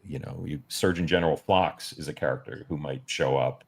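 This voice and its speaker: A man in casual panel conversation, measured and hesitant as he thinks aloud. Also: very deep voice, gravelly, breathy edge. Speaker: guest with TV production experience.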